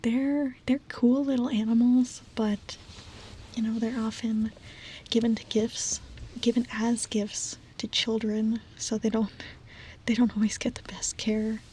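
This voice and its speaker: A young woman whispering softly and nervously into a close microphone, reading chat and repeating calming trigger words. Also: a little high, measured pace, slight background noise, poor audio. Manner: soft whisper, nervous and giggly. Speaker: ASMR streamer. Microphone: close ASMR microphone.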